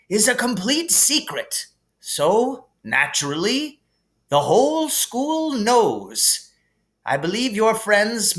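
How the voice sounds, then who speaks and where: A man voicing a kindly, whimsical elderly wizard headmaster in a storybook reading, with calm, gently formal phrasing. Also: sits high, voice pushed up, full character voice. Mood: amused. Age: older. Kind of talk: audiobook character reading.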